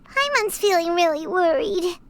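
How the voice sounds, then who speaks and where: A woman voicing a tiny, excitable, anxious girlish fairy companion in a video game, with a squeaky, exclamatory delivery. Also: normal speed, a little hiss or hum. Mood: anxious, impatient. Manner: speaks of self in third person, exclamatory. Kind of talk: scripted video game character acting.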